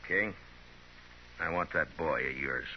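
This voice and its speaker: An actor playing a stern, unflinching frontier marshal, terse and commanding, in 1950s radio western style. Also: male voice, a bass voice, slow. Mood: stern, controlled. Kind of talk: 1950s radio drama acting. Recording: noisy, dull 1950s radio recording.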